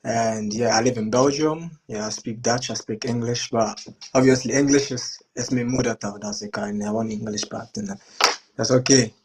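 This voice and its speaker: A man's voice: mid-range voice, fairly even intonation, moderate pace, a little mellow, husky. A young man from Ghana, in his late twenties, speaks as a show guest in a mid-range voice. His talk is loose and informal, packed with "like" and "bro." He sounds easygoing from start to finish. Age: 20s. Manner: laid-back, slangy, many "like" and "bro" fillers. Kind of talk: casual personal story.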